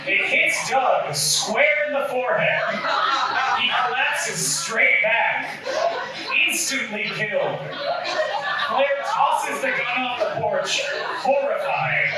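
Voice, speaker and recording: A man reading stage directions as scripted narration at a live table read. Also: very high voice. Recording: echoey room, distant mic, clear noise.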